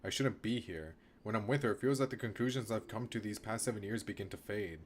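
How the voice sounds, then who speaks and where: A man's voice: on the low side, fast talker, slight background noise. A man streaming a video game, reading aloud and reacting, often restarting his sentences as he thinks aloud.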